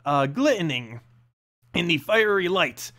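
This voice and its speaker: Male narrator, scripted fantasy storytelling, high-pitched voice, unhurried pace, dramatic delivery. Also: big pitch swings, sharp tone. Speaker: narrator reading game lore aloud.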